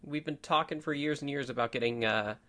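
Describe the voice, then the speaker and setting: A man hosting a casual rally podcast, his voice bright and forward. He talks in an upbeat, chatty way and laughs easily. The pitch sits in a plain middle range. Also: a little fast. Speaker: podcast host.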